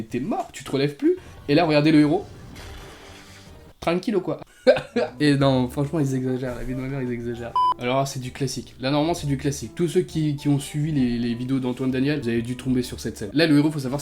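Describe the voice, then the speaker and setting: A young man giving a comedy reaction commentary, excitable and laughing, full of street slang. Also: on the high side. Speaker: YouTuber.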